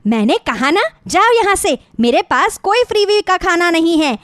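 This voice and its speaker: A woman playing a jealous, rude food vendor with a sharp-tongued, hawking manner in an animated moral story. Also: very high voice, normal speed, dry recording, studio quality, heavily acted. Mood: angry, scornful.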